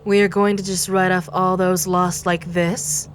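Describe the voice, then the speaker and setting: A woman's voice: fairly low pitch, little pitch movement, a little slow, a little hiss or hum, close to an everyday voice. A woman playing a ghostly death goddess in an audio drama, grave, challenging and questioning. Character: slimy grey-skinned ghostly death goddess, challenging and concerned.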